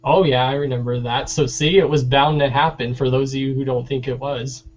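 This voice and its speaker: A man's voice: medium pitch, fairly even intonation, brisk. A man talking casually and informally, giving his opinions over a dull-sounding online hangout call. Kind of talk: casual chat. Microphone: online video hangout.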